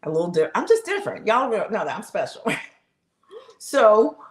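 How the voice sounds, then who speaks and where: A middle-aged woman streaming live, telling viewers her own story in a casual, animated way and talking straight to them. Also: medium pitch, moderate pace, roomy sound. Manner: animated, talks straight to the audience, exclaims, laughs. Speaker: live streamer sharing her health journey.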